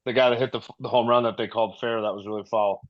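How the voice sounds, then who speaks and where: A man's voice: fairly low pitch, fairly even intonation, quick pace, no room sound. A man casually reminiscing as a guest on a video call, chiming in with short, repeated phrases. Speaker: former player, podcast guest. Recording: dry, slightly dull call audio.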